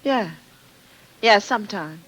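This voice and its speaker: A bright, tense voice of middle pitch for an anxious girl in an old radio play. She speaks in hesitant, short, pleading phrases. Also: lively intonation, takes it slowly, rough recording. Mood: nervous, pleading. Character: nervous, pleading young female civilian. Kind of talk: 1950s radio drama acting.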